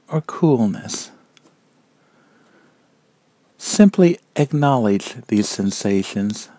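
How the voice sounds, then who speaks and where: A man guiding a meditation in a calm, measured and gentle manner, leaving long pauses between phrases. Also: medium pitch, no echo. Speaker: meditation guide. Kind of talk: guided meditation narration.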